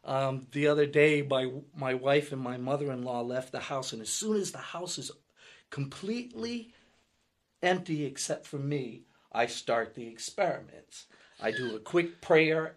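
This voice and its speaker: A middle-aged man telling a personal story in casual talk, halting and searching for words at first, then growing animated. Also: mid-range voice. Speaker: audience member.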